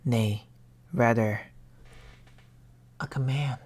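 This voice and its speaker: A woman voicing a patient, mournful male sleep paralysis demon in a hushed, formal ASMR roleplay, often whispering close to a binaural microphone. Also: rumbling low, steady tone, very slow, full character voice. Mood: tender, solemn.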